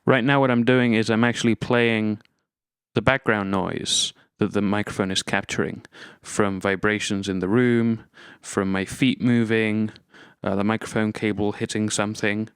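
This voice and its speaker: Methodical man teaching, low-pitched and smooth. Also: fairly even intonation, normal speed, dry recording, studio quality. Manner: methodical, step-by-step explaining. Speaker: tutorial presenter. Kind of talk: instructional gear demonstration.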